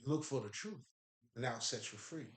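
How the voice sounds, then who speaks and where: Man, Haitian accent, mid pitch, emphatic and profane, laughs a lot, echoey room. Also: normal speed. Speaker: spiritual speaker. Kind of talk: casual spiritual talk.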